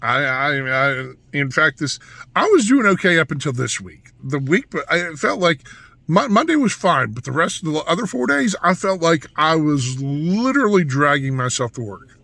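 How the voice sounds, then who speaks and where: A man with a hoarse, raspy voice, talking through a casual vlog in a rambling monologue full of restarts and asides. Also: on the high side, very animated, moderate pace, bright, forceful tone, no echo. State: hoarse from yelling. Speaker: vlogger.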